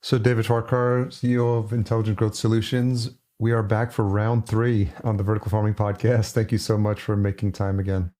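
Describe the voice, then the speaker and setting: A man's voice: fairly low pitch, level tone, a little fast, hardly any pauses, dark tone. A man hosting a podcast, who chats in a friendly way and laughs easily as he introduces guests. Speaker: podcast host.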